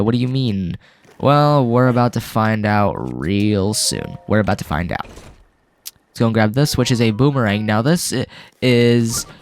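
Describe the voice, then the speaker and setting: Casual man narrating a game, still coughing. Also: mid-range voice. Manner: rambling and casual, full of fillers. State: getting over a cold, with a cough. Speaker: gaming video host. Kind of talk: let's-play commentary.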